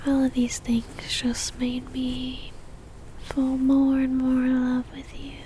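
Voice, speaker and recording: A woman plays a lovestruck female vampire stalker in an ASMR roleplay, whispering softly and breathily right up at the mic. Her voice is high and barely rises or falls, moving slowly and intimately as she coaxes with possessive tenderness. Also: monotone, a little hiss or hum. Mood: tender, lovestruck. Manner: slow, intimate, coaxing. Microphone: close ASMR microphone.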